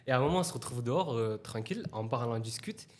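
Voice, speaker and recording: Twenty-something guy from italy, laid-back slangy storytelling, mid-pitched voice. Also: fast talker. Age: 20s. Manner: relaxed anecdotal telling, slangy, with acted quotes. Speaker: guest. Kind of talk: casual storytelling in an interview.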